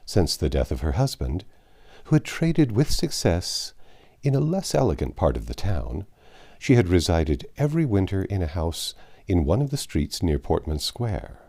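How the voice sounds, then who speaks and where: A man narrating a classic novel aloud, measured storytelling with occasional slips and restarts. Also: low voice, big pitch swings, gravelly, dark tone.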